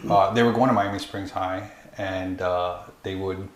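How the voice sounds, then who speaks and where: A man's voice: low voice, fairly even intonation, moderate pace. A man, a pastor hosting the show, asking short encouraging follow-up questions in a casual interview. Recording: roomy echo with faint music.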